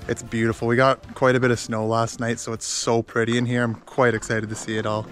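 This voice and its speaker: A man's voice: mid-range voice, flat delivery, fairly quick, loud music behind the voice. A young man chatting casually and cheerfully to the camera in a travel vlog, filmed at arm's length outdoors and in a hotel room. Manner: upbeat, chatty, laughs easily. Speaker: travel vlogger. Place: outdoors in snowy woods, then hotel room. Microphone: handheld camera held at arm's length.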